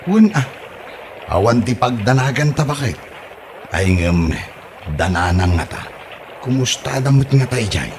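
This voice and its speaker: A grumbling, easygoing husband acted by a man in a household comedy drama. He talks casually with grunts, in a mid-pitched, very animated voice. The recording is clearly noisy. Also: a little slow, pauses often. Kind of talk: amateur comedy audio drama acting.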